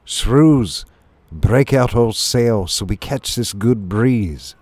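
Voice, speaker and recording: A man voicing a boastful, bossy shrew chief in a storybook, brisk and commanding like a self-assured sea captain. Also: lowish voice, lively intonation, darkish tone, no room sound. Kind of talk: storybook character voice.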